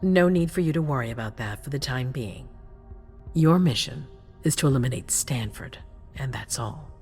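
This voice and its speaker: An actress playing a grave, resolute vampire prince in a game cutscene, speaking formally with measured authority. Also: female voice, rumbling low, animated, darkish tone, soft background music. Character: commanding female vampire prince, grave, resolute city ruler. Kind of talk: dramatic video game cutscene acting.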